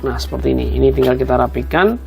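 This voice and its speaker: A man's voice: medium pitch, fast, creaky, darkish tone, faint music behind. A man explains a hands-on job step by step in a casual, conversational way, addressing viewers as friends. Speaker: host of a tutorial video.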